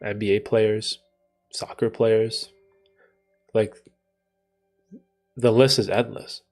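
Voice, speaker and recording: Stuffy-nosed man, gravelly casual chat. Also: fairly low pitch, little pitch movement, slow pace, a little mellow, soft background music. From Canada. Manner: rambling, thinking aloud with many fillers and restarts. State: stuffy nose from a cold. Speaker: livestream host answering chat.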